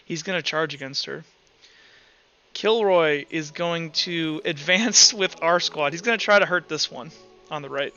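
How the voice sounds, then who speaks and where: A man's voice: on the high side, measured pace, bright, forceful tone, lo-fi sound. A man working as game master, narrating and refereeing an online tabletop game in a casual, profane way. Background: music.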